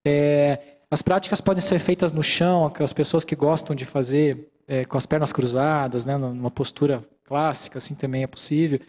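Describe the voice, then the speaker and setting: A middle-aged Brazilian man giving a calm, explanatory lecture in a large auditorium, speaking into a handheld microphone and often checking in with the audience. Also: on the high side, normal speed, few pauses. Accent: Brazilian Portuguese. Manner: calm, explanatory, many fillers like "né". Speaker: mindfulness lecturer. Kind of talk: relaxed lecture with audience questions.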